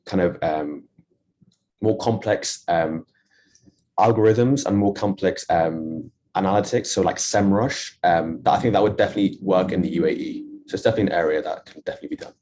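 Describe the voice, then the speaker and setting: A man's voice: low-pitched, brisk, a little mellow. A young man, a student presenter, answering questions informally and somewhat tentatively. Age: young adult. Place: online video call.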